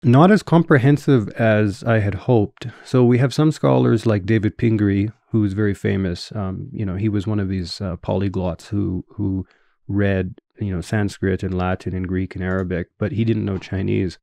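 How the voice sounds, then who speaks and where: Canadian man, 30s, academic guest; low, mellow voice, measured and explanatory, dry close mic. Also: steady tone, gravelly, no room sound. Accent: Canadian English. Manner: measured, explanatory, with frequent fillers. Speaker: guest scholar. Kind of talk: academic interview answers.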